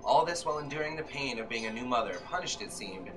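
A middle-aged man giving a tense, deliberate dramatic reading of fiction, like an old-time radio play. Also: mid-range voice, expressive, noisy background. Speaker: author reading from his own novel. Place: small bookshop with seated audience, indoors. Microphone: audience member's camera from a few metres. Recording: music behind, live room camera recording. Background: violin accompaniment.

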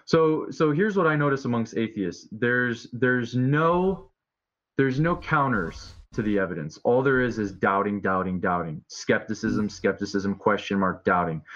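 A man's voice: lowish voice, a little slow, fairly bright tone. A man in his thirties, a preacher debating casually and insistently with pointed questions, heard over a lo-fi video call. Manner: insistent, repeats key words, poses pointed questions. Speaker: street preacher, debate guest. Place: room at home, video call. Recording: dull, lo-fi video call audio.

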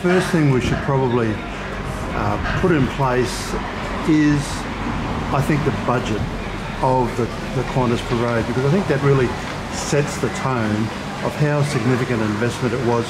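A man with a medium-pitched voice hosts an interview. He speaks deliberately, breaking sentences into short phrases, and is recorded outdoors amid loud traffic and ambient noise. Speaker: interviewer, channel host. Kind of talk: interview hosting. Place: outdoors at a street cafe.

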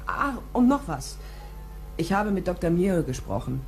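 A woman's voice: lowish voice, very animated, measured pace, darkish tone, faint music behind. An actress playing a tough, persistent woman private detective in a crime radio play, dry, probing and direct. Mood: probing, insistent. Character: tough, persistent female private detective, dryly world-weary. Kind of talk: crime radio play acting and first-person narration.

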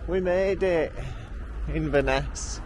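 A man's voice: a little high, takes it slowly. A Yorkshire man chatting casually and playfully as a travel vlogger. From Yorkshire, England. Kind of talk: casual vlog chat and voice-over. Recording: noisy handheld travel-vlog recording.

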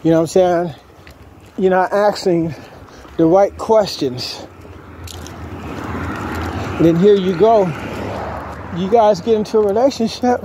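A man's voice: high voice, a little slow, pauses often, warm tone. A man giving casual, slangy advice in a walk-and-talk vlog, repeating himself as he goes, recorded on a handheld phone. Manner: colloquial, slangy, repeats himself, acts out quotes. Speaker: vlogger. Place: outdoors on a street while walking dogs. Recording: noisy outdoor phone recording.

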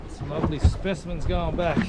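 A man's voice: a little high, brisk. A young Australian man filming himself fishing from a small boat, chatting and laughing with his mate as he reels in fish. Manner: excitable, laughing, matey banter. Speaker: fishing vlogger. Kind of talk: casual chat while fishing. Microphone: action camera on the boat. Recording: outdoor camera with wind and sea noise.

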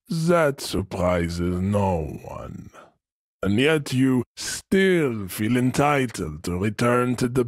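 An actor playing an arrogant hyper-masculine strongman philosopher in a video game, lecturing with pompous, deliberate condescension. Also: fairly low pitch, no room sound, clean studio sound. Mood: disdainful. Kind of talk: video game character acting.

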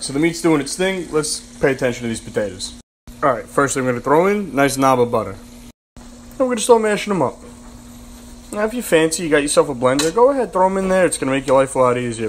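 Young guy cooking at home, talks fast n cocky, cracks jokes, phone mic, light kitchen hum. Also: mid-range voice. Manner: brash, joking, streetwise slang. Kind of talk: casual cooking vlog narration. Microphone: phone camera held close.

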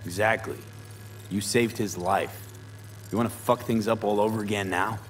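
A man's voice: on the low side, fairly even intonation, moderate pace, slight background noise, close to an everyday voice. An actor playing a laconic, streetwise male mercenary in a video game, speaking in a clipped, dry, blunt way. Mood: skeptical, challenging. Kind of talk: dramatic video game acting.

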